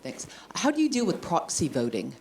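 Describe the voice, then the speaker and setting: A woman's voice: fairly low pitch, wildly swooping pitch, moderate pace, darkish tone, slight background noise. A woman in the audience asking a presenter pointed follow-up questions. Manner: probing, persistent questions. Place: lecture room audience.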